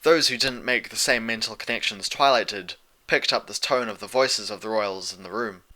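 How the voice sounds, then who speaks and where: Very bright-voiced man narrating a story. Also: lowish voice, moderate pace, low-quality recording. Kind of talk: scripted fan fiction narration.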